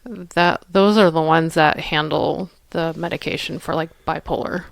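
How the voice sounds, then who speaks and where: A woman with a slightly low, gravelly yet smooth voice chats casually as a podcast co-host. She agrees easily and fills her sentences with "like". The sound is dry and close. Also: fairly even intonation, a little slow, no echo, low-quality recording. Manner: agreeable, lots of "like" fillers, short backchannels. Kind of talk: casual chat.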